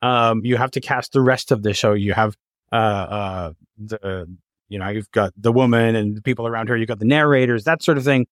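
A man hosting a casual interview, feeling his way haltingly into each question. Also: on the low side, fairly even intonation, no echo, studio quality. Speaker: interviewer, host.

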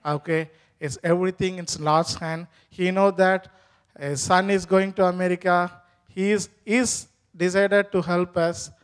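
A man with an Indian accent shares a heartfelt testimony, stopping often to search for words. His voice sits high for a man. He sounds earnest and thankful. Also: steady tone, clean tone. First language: Tamil. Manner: halting, many fillers, earnest and grateful. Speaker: church member giving testimony. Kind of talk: personal testimony in an interview.

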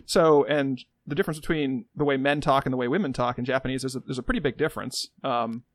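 A man's voice: medium pitch, no room sound. A man with a Midwestern American accent co-hosting a podcast in casual comedic banter, rambling and often interrupting himself mid-thought. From Midwestern United States. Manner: rambling, halting, self-interrupting. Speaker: podcast co-host.

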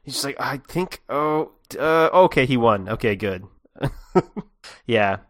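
Male co-host, expressive and varied in pitch, dry studio-close sound, informal and joking. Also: a little slow, dry recording. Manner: jokey, speculating aloud. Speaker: podcast co-host. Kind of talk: casual joking chat, reacting to a story.

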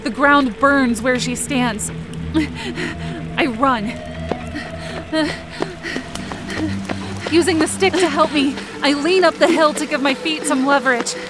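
Anxious young camp counselor, higher-pitched. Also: female voice, measured pace, music in the background. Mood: frightened, urgent. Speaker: actor narrating as a camp counselor. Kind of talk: first-person audio drama narration. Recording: rain and ambience behind the voice. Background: rain and outdoor ambience effects.

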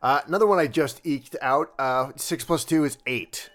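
A man joking his way through a tabletop roleplaying game. He rambles and restarts often, and his voice sits fairly high for a man. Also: moderate pace, a bit bright. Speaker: player.

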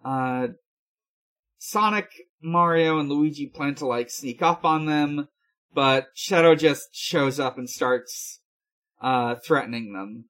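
A man hosting a podcast, giving a casual recap in a halting, hesitant way. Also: fairly high pitch, fairly bright tone. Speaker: podcast host. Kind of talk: casual episode recap chat.

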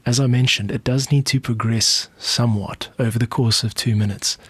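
A man with a South African accent narrates an explanatory podcast in a measured way. His mid-pitched voice is recorded very dry, with no room sound around it. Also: steady tone, husky, no echo. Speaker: podcast host, music composer and producer.

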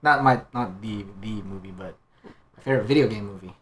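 A man on a podcast panel, chatting informally and thinking aloud in halting phrases. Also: lowish voice. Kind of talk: casual chat.

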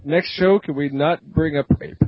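Male co-host, husky mid voice, rambling banter, dull dry recording. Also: a little high, normal speed, no echo. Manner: rambling, with many restarts and sung riffs. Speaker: podcast co-host. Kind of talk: casual banter.

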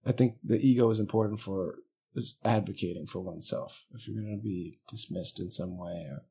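Husky, mellow-voiced man, laid-back chat. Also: on the low side, brisk. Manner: laid-back, joking, thinks aloud with hesitations. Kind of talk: casual conversation.

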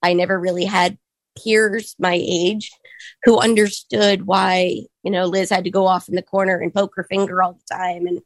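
Woman, 30s, hoarse and gravelly, dry close sound, earnest personal talk with lots of ums. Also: lowish voice, little pitch movement, no echo, rough recording. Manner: earnest, reflective, pauses with frequent ums. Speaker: speaker sharing her story.

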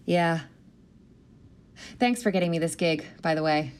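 A woman's voice: fairly low pitch, a little slow, lightly acted. A woman in her thirties playing an anxious, self-doubting new schoolteacher with a wry edge, in a naturalistic audio drama. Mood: earnest. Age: 30s. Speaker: actor in an audio play.

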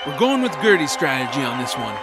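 A man's voice: medium pitch, big pitch swings, quick pace, lightly acted. An actor playing an earnest young male spellcaster in an audio drama, urgently rallying companions. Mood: determined. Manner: urgent, encouraging. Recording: loud music and crowd behind.

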